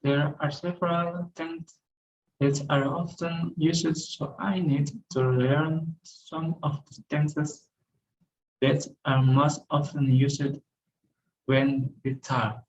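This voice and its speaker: Slow, halting young man. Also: a little high, steady tone, dull audio, slight background noise. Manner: careful, halting reading with self-corrections. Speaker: student. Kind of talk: recorded class presentation.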